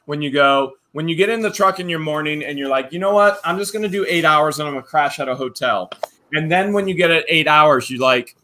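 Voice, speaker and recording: Animated jokey man opening fan mail. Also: a little high, fairly quick. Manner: animated, jokey, reads letters aloud. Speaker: channel co-host.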